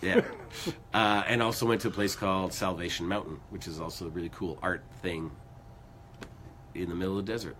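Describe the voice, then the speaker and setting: A man co-hosting a music show in casual, rambling chat, a bit hoarse from losing his voice. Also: low-pitched, fairly even intonation, fairly quick, pauses often, a bit bright, a little hiss or hum. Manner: rambling, upbeat, lots of fillers. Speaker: co-host of a music news show.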